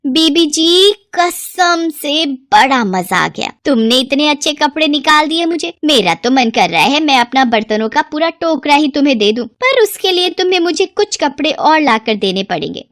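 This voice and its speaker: A woman voicing a wily female street hawker with a coaxing, drawn-out sales manner in a cartoon story. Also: high-pitched, lively intonation, fairly bright tone, dry recording, clean studio sound, pitched above the performer's own voice. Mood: flattering, coaxing. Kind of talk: Hindi moral story cartoon acting.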